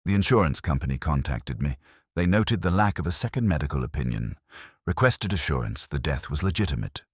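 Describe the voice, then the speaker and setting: A man's voice: extremely low pitch, animated, brisk, husky, dry recording, clean studio sound, heavily acted. A man voicing a polite but evasive professional under suspicion, guarded and defensive, in an audiobook mystery. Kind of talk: audiobook detective story dialogue.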